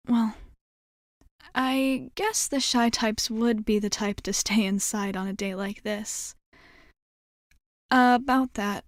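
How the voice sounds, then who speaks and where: Woman acting a breezy, higher-voiced sporty schoolgirl. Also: no echo, clean studio sound. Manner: casual, rambling, hesitant backtracking. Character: sporty schoolgirl, breezy, blunt, sheepish, evasive about mischief. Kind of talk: ASMR roleplay acting, one-sided dialogue.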